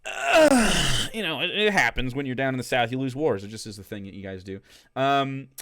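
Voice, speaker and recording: A man's voice: medium pitch, big pitch swings, moderate pace, fairly bright tone. A man who streams political commentary, reacting to clips in a casual, sarcastic and profane way, laughing between remarks.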